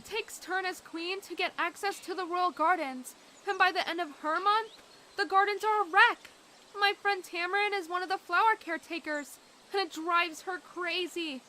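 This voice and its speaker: A woman's voice: extremely high pitch, fairly even intonation, moderate pace, sharp tone, a little hiss or hum. A woman voicing an eager, chatty three-year-old female dragonet in a fan dub. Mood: chatty, earnest.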